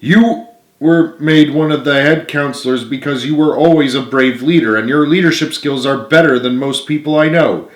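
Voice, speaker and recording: A man's voice: fairly high pitch, moderate pace, voice pushed up, natural voice. A man reading as an earnest, pleading friend, echoey. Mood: encouraging. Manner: pleading, emphatic. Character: earnest, remorseful, encouraging man, loyal friend. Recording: roomy, echoing room sound.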